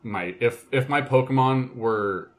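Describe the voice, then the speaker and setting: A man's voice: medium pitch, unhurried. A man with a mid-range voice co-hosts a podcast. He talks casually and conversationally, telling stories as if among friends. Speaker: podcast co-host. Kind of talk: casual chat, storytelling.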